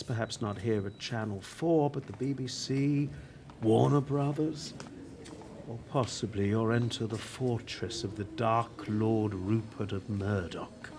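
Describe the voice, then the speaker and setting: A man's voice: mid-range voice, darkish tone. Guy acting proud old working class writer in a radio play, dying, takes his time, wry and pointed, bit of noisy ambience. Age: older.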